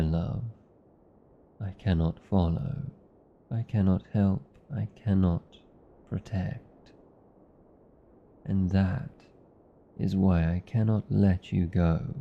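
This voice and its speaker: A British man playing a protective, anxious vampire lover in a romantic ASMR roleplay, speaking formally and earnestly with deliberate pauses. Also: low-pitched, creaky, dull audio, full character voice. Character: protective, anxious old vampire lover, tender yet arrogant.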